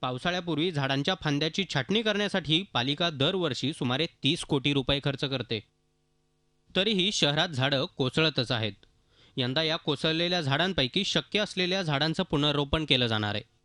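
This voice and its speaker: A man's voice: fairly high pitch, fairly quick, pushed and bright, dry recording. A man narrating a scripted news report in voice-over on a close mic. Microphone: close, dry voice-over mic.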